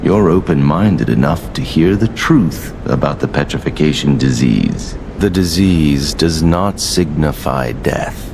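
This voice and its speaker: An actor playing a grandiose, condescending alchemist leader in a video game, speaking with measured, mock-courteous pomp. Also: male voice, very deep voice, expressive, vocal fry, background noise, heavily acted. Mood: smug, courteous. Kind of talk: theatrical video game villain monologue.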